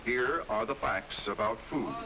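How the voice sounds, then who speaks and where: A man's voice: mid-range voice, measured pace, sharp tone, a little hiss or hum, poor audio. An American man reading scripted 1960s documentary narration with an emphatic, authoritative delivery on an old film soundtrack.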